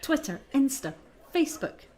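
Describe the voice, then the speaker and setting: A woman's voice: high voice, sing-song, normal speed, warm tone. An actress playing an earnest, eager young woman in an audio drama.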